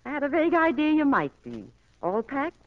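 Strict, fussy farm mother, American, high and animated, 1940s radio drama. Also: fairly quick. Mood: motherly, fussing. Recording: muffled 1940s radio broadcast.

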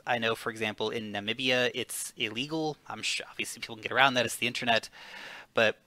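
Upbeat guy asking questions, bright tense voice, dry close sound. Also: mid-range voice, no echo. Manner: animated, upbeat, stumbles on words. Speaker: interviewer. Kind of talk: conversational interview.

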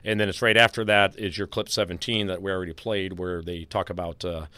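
A man hosting a talk, discussing audio clips in a serious, deliberate, analytical way. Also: low-pitched, level tone, sharp tone, clean tone. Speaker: podcast host.

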